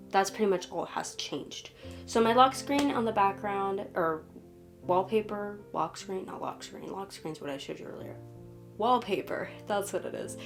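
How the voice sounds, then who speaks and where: A woman with a cold talks through a casual home video, her voice nasal and stuffed up. She rambles and corrects herself in a mid-range voice. Faint music plays softly behind her. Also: medium pitch. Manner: rambling, talkative, self-correcting. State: sick with a cold, stuffy nose. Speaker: vlogger. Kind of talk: casual chatty show-and-tell. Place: home, humidifier running.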